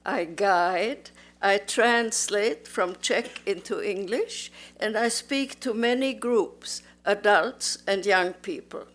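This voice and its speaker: A woman in her nineties, born in Austria, giving a slow, measured, formal speech as a museum volunteer in a museum auditorium. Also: mid-range voice. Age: 90s. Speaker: museum volunteer and Holocaust survivor. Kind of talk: prepared public speech.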